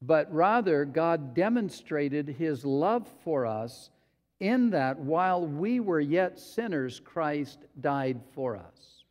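A male pastor preaching a sermon in a slow, deliberate way, sometimes acting out quotes. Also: fairly high pitch, animated, a little mellow. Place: church sanctuary. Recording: long hall reverb.

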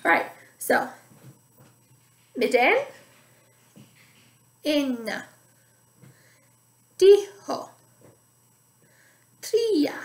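A woman in her thirties teaching a music lesson to young children from home, speaking slowly and clearly and singing phrases for them to repeat, recorded on a laptop webcam. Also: sits high, big pitch swings. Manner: slow, clear teacher talk, sings call-and-repeat phrases. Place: dining room at home, indoors. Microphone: webcam or laptop at arm's length.

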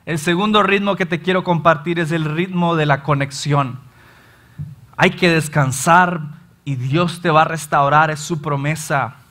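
A Salvadoran pastor in his thirties preaching an animated sermon from a church stage, often acting out his listeners' complaints. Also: a little high, measured pace. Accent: Salvadoran Spanish. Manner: animated, gestures widely, acts out listeners' complaints. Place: church stage in front of a large screen.

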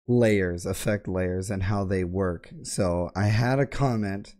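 A young man with a sore throat, clearing his throat now and then as he casually walks through a keyboard lighting tutorial from his home gaming room on a close boom mic. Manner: relaxed explaining, frequent throat clearing. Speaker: tech tutorial host. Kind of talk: casual screen-share tutorial. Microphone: boom mic close to face, headset on.

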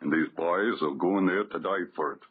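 An actor playing a salty, cocky sailor tipster in a 1930s radio drama, sly and goading, with a rough husky voice. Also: male voice, low-pitched, normal speed. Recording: tinny, narrow 1930s radio recording.

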